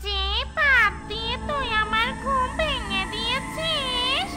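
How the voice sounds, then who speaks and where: Angry cartoon female ghost: piercingly high, slow, scolding, over loud music. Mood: scolding, vindictive. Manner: scolding, threatening, exclamatory. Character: angry, bossy female ghost granting mischievous curses. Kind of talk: comic cartoon voice acting.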